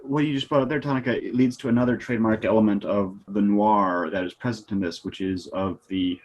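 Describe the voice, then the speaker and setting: A man's voice: on the low side, steady tone, a little fast, a little mellow. A man on a panel analysing film genre tropes in a lecturing way, trailing off at the ends of phrases.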